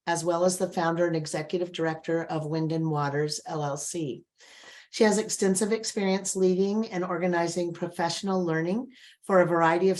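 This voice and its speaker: A woman's voice: on the low side, darkish tone. A woman host introducing speakers at an online professional session over a video call. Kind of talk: introduction at an online session, partly read biographies.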